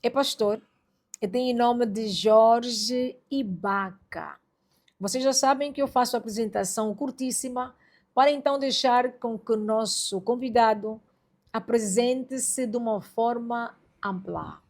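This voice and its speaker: A woman's voice: fairly high pitch, a little slow, a little mellow. Woman podcast host, exhorting and emphatic. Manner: exhorting, emphatic, addresses listeners directly. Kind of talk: religious podcast introduction with preaching exhortation.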